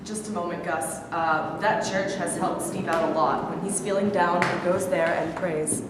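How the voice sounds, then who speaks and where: A woman reading as a caring wife who pleads and nags, in a lowish voice. Long echo from a bare studio, with clear room noise heard through a far camera. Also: fairly even intonation, normal speed, close to an everyday voice. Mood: pleading. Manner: pleading, nagging. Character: caring, churchgoing wife of a boxer. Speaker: actress in a staged reading. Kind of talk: staged play reading. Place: large rehearsal studio.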